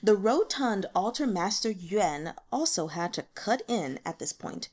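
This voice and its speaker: A woman's voice: medium pitch, sing-song, measured pace, a little mellow. A woman reading scripted fantasy fiction as an audiobook narrator.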